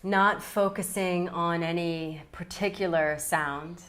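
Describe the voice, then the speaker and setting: A woman leading a guided yoga nidra meditation in a calm, soothing, unhurried way with long pauses. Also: lowish voice.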